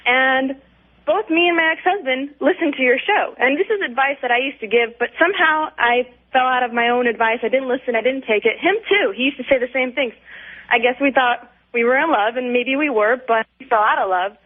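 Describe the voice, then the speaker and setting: A woman's voice: high-pitched, brisk. A woman calling a radio show over the telephone, chatting candidly in an emphatic, rambling way with strong opinions. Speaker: radio call-in listener. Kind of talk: casual, candid chat. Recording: tinny, narrow phone line.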